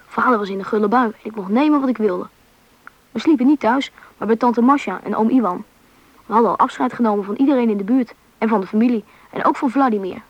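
An actor with a woman's register playing an eager, curious schoolboy in a radio play, chatty and husky. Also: fairly high pitch, dry recording, lightly acted. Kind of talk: radio play acting with first-person narration.

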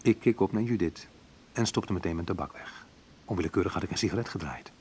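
A man's voice: sits low, fast talker, a little mellow, slight background noise, close to an everyday voice. An actor playing a wounded man who narrates his youth in a radio play, in short colloquial phrases. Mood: wry. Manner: colloquial, sardonic, clipped short sentences. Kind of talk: dramatised first-person narration, radio play.

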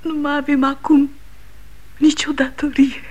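An actress playing a grieving middle-aged widow in a 1960s radio drama, speaking in a hushed, breathy whisper. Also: female voice, high-pitched, flat delivery, close to an everyday voice.

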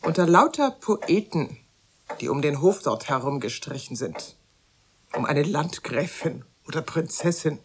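A woman's voice: sits low, expressive, measured pace, a little hiss or hum. An actress playing a sceptical, mocking society lady who drawls pointed questions and gossip in a radio drama. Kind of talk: German radio drama acting.

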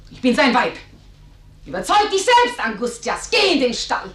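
An actress playing a passionate, rebellious young daughter in love, proud and defiant in a radio tragedy. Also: female voice, sits high, very animated, moderate pace, a bit bright. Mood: triumphant defiance. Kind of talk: German radio drama, theatrical tragic acting. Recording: echoey radio drama room.

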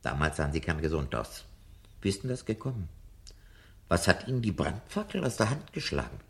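An old, disillusioned man of books in a radio drama. His deep voice is gravelly and swings widely in melody. He speaks theatrically, brooding and sardonic, like a self-confessed coward looking back. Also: sing-song, a little fast, fairly bright tone, heavily acted. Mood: probing, earnest. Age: older. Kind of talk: 1970 German radio drama acting.

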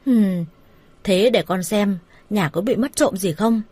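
A woman voicing an excitable, nosy young woman who exclaims and scolds in an audio story. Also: mid-range voice, takes it slowly, husky, no room sound. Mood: curious.

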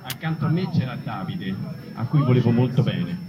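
A man giving an expressive reading of a written first-person memoir. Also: mid-range voice, moderate pace. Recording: distant, dull recording amid crowd noise.

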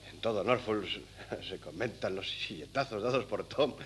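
An actor playing a wry, cheeky young son in a 1970s Spanish radio comedy, sardonic and quick to retort. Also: male voice, on the low side, husky. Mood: exasperated. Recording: faintly noisy 1970s cassette.

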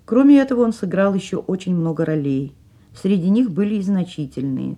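A woman's voice: deep voice, warm tone. A woman hosting a radio programme as a theatre critic, delivering scripted narration.

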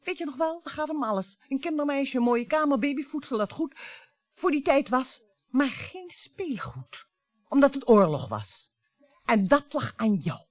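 An actress playing a cool, cutting French bourgeois wife and regretful mother in a radio play, with pointed, deliberate phrasing. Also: high voice, big pitch swings, no room sound. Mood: reproachful.

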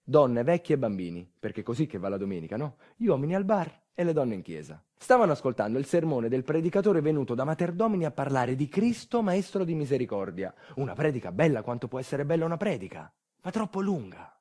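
A man delivering a dramatic monologue as a storyteller, intense and colloquial, moving between hushed and loud delivery. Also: medium pitch, sing-song, fast talker, few pauses. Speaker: stage storyteller. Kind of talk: dramatic narrative theatre monologue.

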